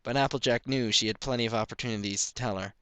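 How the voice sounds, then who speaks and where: A man's voice: medium pitch, fairly even intonation, fast, fairly bright tone, clean tone, no echo. A man reading a story aloud as scripted third-person narration. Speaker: story reader.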